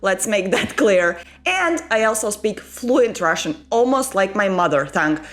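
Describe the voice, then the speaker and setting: A woman's voice: medium pitch, a little slow. Chatty young woman telling true crime. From Baltic states. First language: not English. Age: young adult. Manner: chatty, animated, frequent asides. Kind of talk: casual true crime storytelling to camera. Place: small room at home, indoors. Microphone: desktop microphone in front of her.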